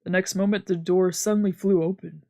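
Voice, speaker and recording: A woman's voice: lowish voice, fairly quick, vocal fry. Woman streamer narrating a visual novel. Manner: steady narrative reading. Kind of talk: reading a visual novel aloud.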